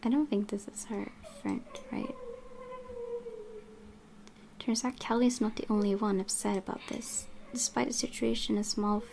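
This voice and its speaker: A woman's voice: medium pitch, fairly even intonation, normal speed, gravelly, long pauses, darkish tone, a little hiss or hum. A woman hosting a gaming channel, reading a visual novel's narration aloud with occasional asides.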